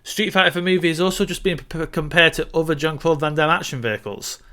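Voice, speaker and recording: A man's voice: on the high side, fast talker, crowd noise. A man co-hosting a show, discussing films in a casual, conversational way. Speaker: podcast co-host.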